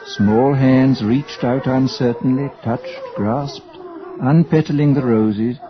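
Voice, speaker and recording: A man's voice: mid-range voice, takes it slowly, over music. A man delivering scripted narration as the narrator of a radio drama. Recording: dull, lo-fi old radio recording.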